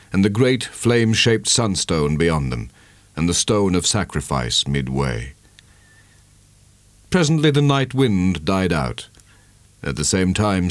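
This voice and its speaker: A man narrating a literary audiobook in a measured, dramatic storytelling manner. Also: low-pitched, dry recording.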